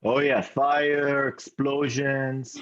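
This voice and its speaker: A man's voice: medium pitch, level tone, very slow, fairly bright tone, highs cut off. A man bantering jokingly from across a lecture hall, often far from the mic.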